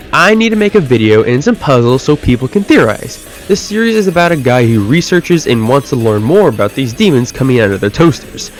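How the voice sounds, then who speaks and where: Exasperated man giving opinions over music. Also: medium pitch. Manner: exasperated, rhetorical questioning. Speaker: video essayist. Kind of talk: casual opinion commentary video. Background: background music.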